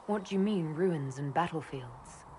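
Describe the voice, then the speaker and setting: An actress playing an earnest, resolute elven mage hero in a fantasy game, direct and composed. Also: female voice, deep voice, expressive, measured pace, mellow, a little hiss or hum. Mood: curious. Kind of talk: fantasy video game voice acting.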